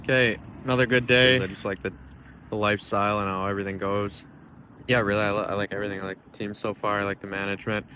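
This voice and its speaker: A man talking casually to camera for a vlog in a low-key, plain-spoken way. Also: level tone, fast talker, pushed and bright, slight background noise. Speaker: vlogger, pro hockey goaltender.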